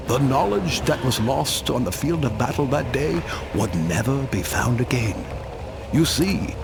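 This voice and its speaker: An actor playing an ancient immortal warrior in a cartoon game, solemnly telling his tale in a grave, measured, wistful voice over music. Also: medium pitch, gravelly, very noisy. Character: immortal ancient warrior, regretful and weary, recounting his curse. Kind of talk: solemn dramatic storytelling. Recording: loud music under the voice.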